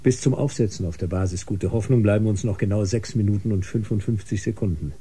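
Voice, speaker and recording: An actor in a German science fiction radio drama playing a solemn, controlled Mars lander pilot who speaks in measured, precise phrases. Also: male voice, lowish voice, dark tone, husky. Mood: factual, precise.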